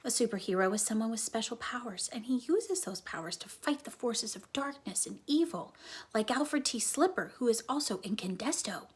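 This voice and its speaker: An earnest, slightly cynical young comic-book fan voiced by a woman reading a storybook. The girl explains things emphatically and instructively, in a fairly high voice with a breathy, husky edge. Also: animated, moderate pace. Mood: earnest explaining.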